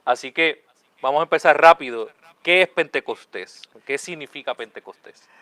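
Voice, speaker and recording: A man's voice: a little high, moderate pace, bright, forceful tone, no room sound. A man hosting a religious online show as a friar, often tagging phrases with a questioning check. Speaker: host, Capuchin friar.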